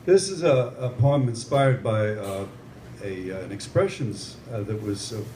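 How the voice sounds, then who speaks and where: Older male poet, slow and deliberate live reading, echoey room with faint noise. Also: fairly low pitch, expressive, warm tone. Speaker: amateur poet. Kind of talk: poetry reading with informal introduction.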